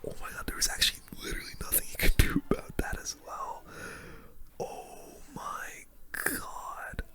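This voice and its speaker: A man's voice: moderate pace, sharp tone. Whispering young man, ASMR gamer. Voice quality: breathy, unvoiced whisper. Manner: casual, slangy, reacting to play. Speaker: gaming video host. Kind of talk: whispered ASMR game commentary.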